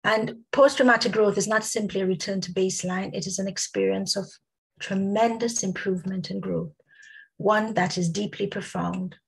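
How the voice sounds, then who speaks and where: A woman talks on a video call with a husky, gravelly voice that is starting to give out. She clears her throat now and then and moves through her points in a measured way, with frequent ums. Her pitch sits in the middle range for a woman. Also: fairly even intonation, rough recording. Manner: measured, reads out lists with many ums. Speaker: guest speaker. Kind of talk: prepared talk at an online summit.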